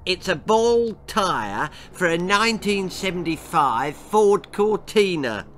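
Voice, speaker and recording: A man's voice: high-pitched, big pitch swings, slow, slight background noise, voice pushed up, heavily acted. Older man doing a smug, spiteful little girl. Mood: taunting. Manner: smug, goading, loud. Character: mean, taunting young girl, spiteful spoilsport.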